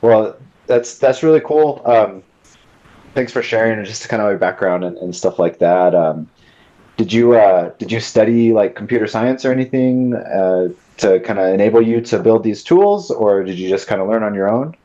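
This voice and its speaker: A man's voice: fairly low pitch, normal speed, warm tone. A man hosting a podcast interview, laid-back and often restarting his words with "you know". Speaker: podcast host. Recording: dull lo-fi sound.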